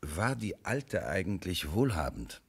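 Deep-voiced, measured police commissioner. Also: male voice, big pitch swings, breathy edge, heavily acted. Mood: probing, calm. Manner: measured, terse questioning with murmured replies. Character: grown male police commissioner, calm, sceptical, probing. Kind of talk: German radio crime drama acting.

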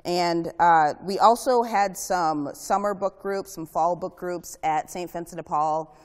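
A woman's voice: fairly low pitch, unhurried. A young woman opens a workshop in a chatty, self-deprecating way with joking asides. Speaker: workshop presenter, service center director. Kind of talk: presenting a workshop. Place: large parish hall. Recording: long hall reverb.

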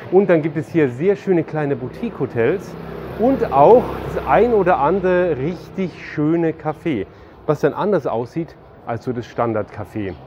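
A man's voice: a little high, expressive, measured pace, lo-fi sound, noisy background. A man in his forties presenting a travel vlog, talking and narrating as he shows places. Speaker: travel vlogger.

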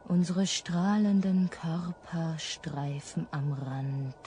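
A dreamy but defiant kidnapped lady, radio crime play, theatrical n slow. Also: low voice, mellow, slight background noise. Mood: dreamy, hushed. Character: dreamy, defiant female actress taken hostage. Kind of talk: German radio crime drama acting.

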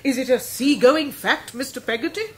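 An actress playing a brisk, gracious older English lady in a radio drama. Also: high voice, fairly bright tone. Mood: curious, gracious. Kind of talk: 1990s radio drama acting.

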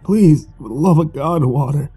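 A man's voice: fairly high pitch, normal speed, dark tone, breathy edge, soft background music. A man voicing a wasted, dying fisherman who speaks in weak, gasping, broken phrases. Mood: pleading weakly. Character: dying male fisherman, gaunt, gasping and desperate.

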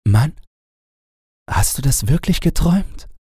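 A tender young wife from a storybook, put on by a male narrator, speaking softly and affectionately. The voice is lifted well up, breathy and husky, with a dark, gentle color. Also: medium pitch, lively intonation, a little slow, dry recording, studio quality, voice pushed up. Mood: astonished. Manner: soft, affectionate, pleading. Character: tender, relieved young wife in a fairy tale.

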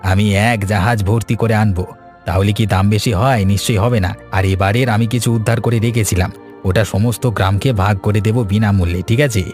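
A man's voice: lowish voice, steady tone, normal speed, darkish tone, close to an everyday voice. A man voicing a grateful, generous travelling merchant in a cartoon story. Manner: polite, earnest. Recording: music bed behind.

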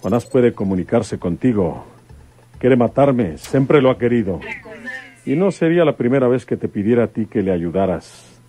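A man's voice: medium pitch, expressive, normal speed, mellow, husky. An actor with a Mexican accent playing a stern, probing rural detective and father in a radio drama, with rustic, insistent speech. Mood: pressing, suspicious. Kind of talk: old-time radio drama acting.

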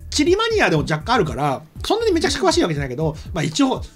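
A middle-aged man co-hosts a studio show in casual, rambling chat. His pitch moves in a lively way and he talks fast, with faint music playing behind him. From Tokyo area, Japan. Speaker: show co-host. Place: small studio, indoors. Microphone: desk microphone. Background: faint background music.

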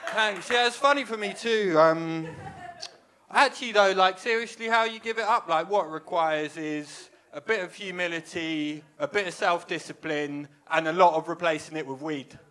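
A young man performing stand-up on a small comedy club stage, speaking into a handheld mic. His voice sits high for a man and rings bright and sharp. He delivers his lines with a wry, self-deprecating deadpan. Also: normal speed. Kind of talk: stand-up set. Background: audience laughter.

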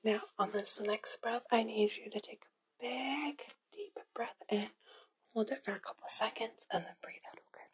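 Young woman doing asmr medical roleplay, hushed whispery voice, some clear background noise and clicks. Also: fairly high pitch, moderate pace. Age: young adult. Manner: soft, instructing step by step. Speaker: ASMR performer role-playing a medical examiner. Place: small indoor studio with dark backdrop.

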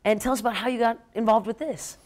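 A woman's voice: fairly high pitch, quick pace, a little mellow. An American woman hosting an interview, chatting in an upbeat broadcast style with enthusiastic reactions. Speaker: TV morning show host. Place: TV studio.

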